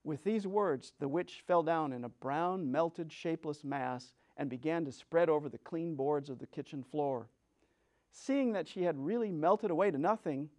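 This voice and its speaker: A man giving a dramatic storybook reading as a storyteller, with theatrical, expressive emphasis. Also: a little high.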